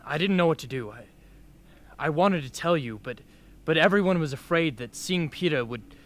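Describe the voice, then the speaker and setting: Radio drama part: man as hesitant young male friend, apologetic, fairly high voice. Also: expressive, natural voice. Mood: guilty, apologetic. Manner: hesitant, halting. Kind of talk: amateur radio drama acting.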